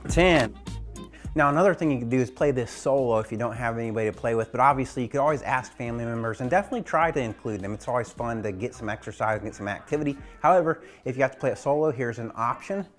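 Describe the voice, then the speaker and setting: A man's voice: medium pitch, fast, faint music behind. Man, gym teacher host, casual demonstration, encouraging, instructive, indoor home setting. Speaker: gym teacher hosting an exercise show. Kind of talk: casual game demonstration with coaching tips.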